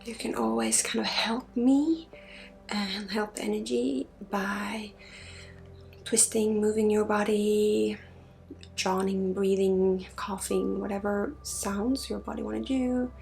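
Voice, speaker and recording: A woman from Sweden, a native Swedish speaker, guiding an ASMR energy healing session in a breathy, halting voice that often drops into a whisper. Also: mid-range voice, steady tone, soft background music. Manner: hushed, halting, intimate direct address. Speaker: spiritual healer and ASMR host.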